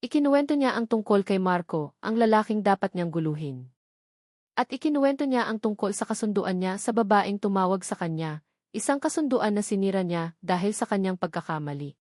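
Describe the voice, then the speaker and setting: A woman's voice: medium pitch, lively intonation, brisk, darkish tone, no echo, studio quality. A woman reading a romance story as a dramatic scripted audiobook narration.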